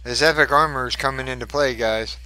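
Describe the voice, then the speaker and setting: A man's voice: mid-range voice, a little fast, noisy background. A man giving casual commentary as he plays a shooter game, chatting and muttering to himself through fights. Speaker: gaming channel host.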